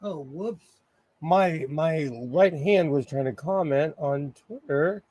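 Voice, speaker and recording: A man hosting a casual sewing livestream, chatty and apologetic, laughing often, his voice a little hoarse. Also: on the high side, slow, mellow. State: slightly hoarse, losing his voice.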